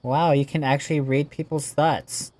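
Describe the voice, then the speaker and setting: A man's voice: on the high side, level tone, moderate pace, a little mellow, slight background noise. A man casually commenting while playing a game, musing with lots of fillers. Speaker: gamer. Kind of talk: let's play commentary. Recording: voice over game audio with music. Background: music.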